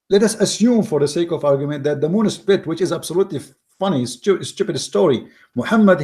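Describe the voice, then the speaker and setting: A man with an Arabic accent, his voice sitting a little high for a man, speaks in the mocking, emphatic tone of an online commentator. He hammers points by repeating words and often stumbles and restarts his non-native English. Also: a little mellow. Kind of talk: argumentative commentary reading from an article.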